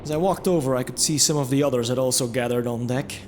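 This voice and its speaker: A man's voice: medium pitch, fast, darkish tone, a little hiss or hum. A man narrating a scripted fantasy audio drama in the first person, reflective, with wry asides. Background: music under the voice.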